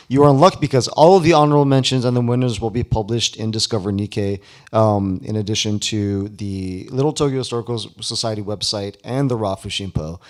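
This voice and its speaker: A man hosting a live ceremony in a casual, informal way with playful banter. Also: fairly low pitch. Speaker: event host. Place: live awards ceremony before an audience.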